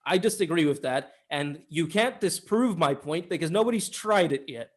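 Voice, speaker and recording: A man's voice: a little high, lively intonation, moderate pace. A man co-hosting a film review podcast, chatting casually and giving opinions in informal language.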